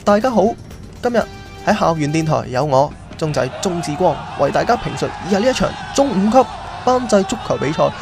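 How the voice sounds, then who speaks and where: A man playing an excitable campus radio football commentator with a Hong Kong Cantonese accent in an amateur radio drama. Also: on the high side, fairly quick, gravelly. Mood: excited. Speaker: sports commentator. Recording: loud music and crowd noise behind.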